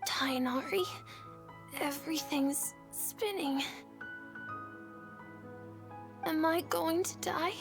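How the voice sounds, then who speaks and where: A sick little child in an anime game, with a very high, slow voice. The child is weak, plaintive and melodramatic, faltering with fear. Faint music plays behind. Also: female voice. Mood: dazed, fearful. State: feverish and weak.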